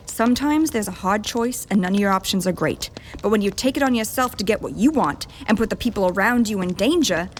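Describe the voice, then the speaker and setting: A woman's voice: medium pitch, a little hiss or hum, natural voice. Woman acting a blunt, foul-mouthed rogue leader. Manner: blunt, scolding, sardonic. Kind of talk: fantasy audio drama acting.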